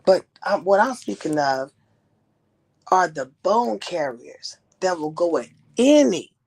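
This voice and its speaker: A woman's voice: lowish voice, very animated, slow pace, a little mellow, breathy edge. A woman hosting a live stream on a headset mic, talking casually and preachily, calling her guests sis and baby. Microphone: headset microphone.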